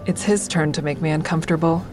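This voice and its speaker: Actress playing awkward smitten woman, lowish voice, intimate confessional narration, self-deprecating, audio drama, prominent music. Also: fairly even intonation, quick pace, natural voice. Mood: guarded, confiding. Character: awkward, smitten woman survivor narrating her own story.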